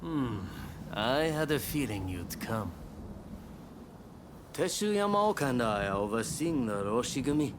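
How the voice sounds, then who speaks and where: An actor playing a harried but polite samurai overseer in a video game, with a measured, formal manner. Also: male voice, mid-range voice, sing-song, background noise. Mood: composed. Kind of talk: video game cutscene acting.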